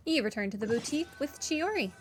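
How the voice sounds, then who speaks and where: A woman's voice: high voice, lively intonation, quick pace. A woman giving casual playthrough commentary on a video game with wry, playful asides. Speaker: game streamer. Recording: game music behind the voice. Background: video game music.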